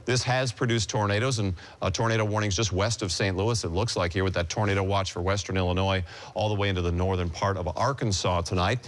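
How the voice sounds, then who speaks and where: A man's voice: low-pitched, fairly even intonation, quick pace, few pauses. An American man presenting an ad-libbed TV weather forecast.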